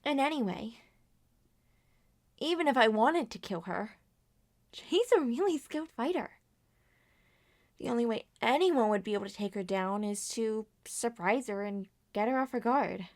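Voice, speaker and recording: Young animated murder mystery character, played by a woman: higher voice, earnest, anxious, theatrical and deliberate. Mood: earnest, defensive. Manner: theatrical, deliberate acted dialogue. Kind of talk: scripted ASMR roleplay, character voices.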